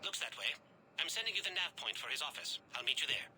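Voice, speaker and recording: A man's voice: a little high, sing-song, fast, a crowd in the background. A man playing an analytical alien special agent in a video game, speaking in clipped, matter-of-fact phrases. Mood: businesslike.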